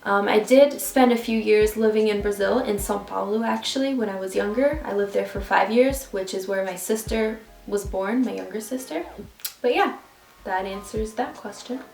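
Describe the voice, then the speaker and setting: A woman's voice: mid-range voice, normal speed, gravelly, a little mellow. A woman in her twenties from New York City, chatting casually and rambling with asides in a get-to-know-me vlog recorded on a phone at home. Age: 20s. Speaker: vlogger. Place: at home, indoors. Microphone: phone camera. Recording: roomy room with faint background music.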